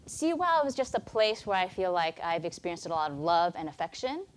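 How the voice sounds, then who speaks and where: A woman's voice: lowish voice, sing-song, fairly quick, low-quality recording. An American woman in her thirties, a native Mandarin speaker, telling her own story as a guest speaker at a church, in an earnest, conversational way. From United States. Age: 30s. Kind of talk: personal testimony talk.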